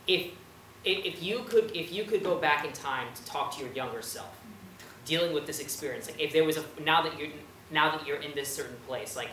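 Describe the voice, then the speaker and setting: A man's voice: medium pitch, pushed and bright. A young man from the United States, an audience member making a casual, rambling personal comment and question with many hesitations. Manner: hesitant, rambling, filler-heavy. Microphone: distant camera mic. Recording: roomy, distant mic, noisy background.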